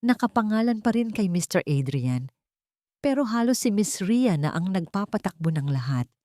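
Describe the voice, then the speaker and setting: A woman's voice: mid-range voice, big pitch swings, moderate pace, warm tone, no room sound, studio quality, voice pushed up, close to an everyday voice. A woman voicing a businesslike male investigator, formal and deferential, reporting to a client in an audio drama. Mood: grave.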